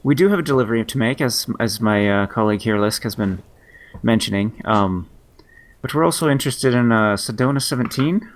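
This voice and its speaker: A man speaking as his character in a tabletop game, halting and casual. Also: medium pitch. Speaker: player.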